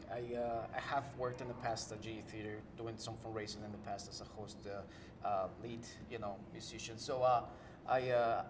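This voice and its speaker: Man, Ecuadorian Spanish accent, hesitant guest, background noise. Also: mid-range voice. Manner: hesitant, many uh fillers. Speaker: guest singer-songwriter. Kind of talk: interview answers.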